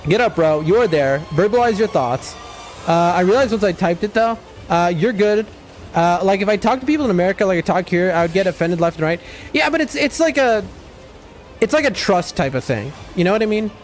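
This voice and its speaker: A young man streaming a game, shouting during fights and rambling to his chat between them. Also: sits high, fairly quick, faint music behind. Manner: excitable, loud outbursts during fights; rambling, many fillers and restarts when chatting. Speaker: gaming streamer. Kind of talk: live gameplay commentary and chat talk.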